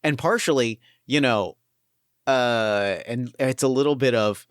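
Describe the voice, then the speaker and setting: A man running a tabletop game as game master, thinking aloud and pitching story ideas with eager restarts. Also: measured pace, dry recording, studio quality. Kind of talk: collaborative planning for a tabletop game.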